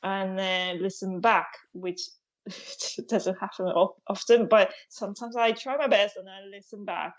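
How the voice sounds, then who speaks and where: A woman whose first language is Japanese, chatting on a podcast as a comedian guest, halting and laughing often. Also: medium pitch, fairly even intonation, dull audio. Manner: hesitant, halting phrasing with frequent laughs. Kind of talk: casual podcast chat.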